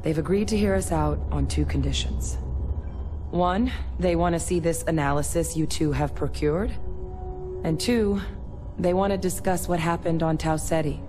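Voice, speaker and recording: An actress playing an earnest former military scientist in a sci-fi video game, speaking in a measured, explanatory way. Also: female voice, sits low, steady tone, music in the background, close to an everyday voice. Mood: serious, explanatory. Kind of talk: video game dialogue acting.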